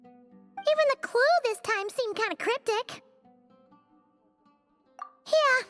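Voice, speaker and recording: A woman voicing a small, childlike, chirpy floating companion in a video game, with a squeaky voice. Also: slow pace, a bit bright, soft background music, exaggerated voice. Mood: puzzled. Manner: refers to self in third person.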